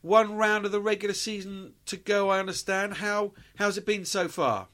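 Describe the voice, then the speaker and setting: A man's voice: high-pitched, fairly even intonation, moderate pace, fairly bright tone. A man hosting a sports interview, chatting freely and often restarting his sentences. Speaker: interview host.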